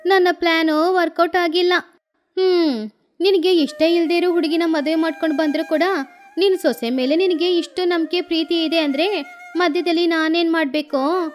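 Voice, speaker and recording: Lady doing a nosy gossipy neighbour woman, taunting, high voice, storybook style. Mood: thwarted, sulky. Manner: taunting, insinuating.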